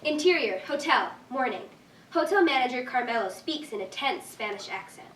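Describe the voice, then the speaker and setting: A woman's voice: sits high, expressive, normal speed, a bit bright. A woman reading stage directions as scripted narration at a staged reading. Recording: echoey room, distant mic, faint noise.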